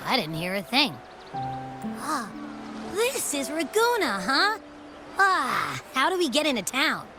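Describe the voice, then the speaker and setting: A squeaky, wildly swooping companion. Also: female voice, wildly swooping pitch, takes it slowly, over music, exaggerated voice. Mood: curious. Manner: exclaiming, wide-eyed. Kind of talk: video game cutscene acting.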